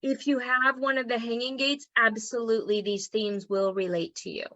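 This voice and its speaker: A woman leads an online class in a chatty, encouraging way and keeps checking in with her listeners. Her voice is bright and a little high, though strained from losing it. The recording is dry and slightly muffled. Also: steady tone, normal speed, no echo. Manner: chatty and encouraging, frequent "okay?" check-ins. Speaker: human design teacher. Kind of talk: casual teaching talk to an online group.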